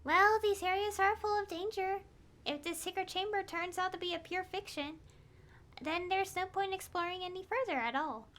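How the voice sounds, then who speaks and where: Woman reading an earnest, high-voiced quest-giver. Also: moderate pace, a bit bright. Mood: concerned, apologetic.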